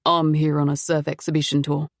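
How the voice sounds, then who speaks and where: Refined young stranger, calm, measured, dropped low, voiced by a woman narrator. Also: no echo, clean studio sound. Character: young man, calm and soft-spoken with a refined foreign accent.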